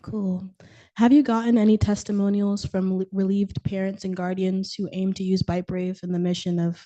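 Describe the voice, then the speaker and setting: A woman's voice: medium pitch, level tone, moderate pace, dark tone, lo-fi sound. A woman interviewing a founder, asking her questions in a casual way with words like "cool" and "like.".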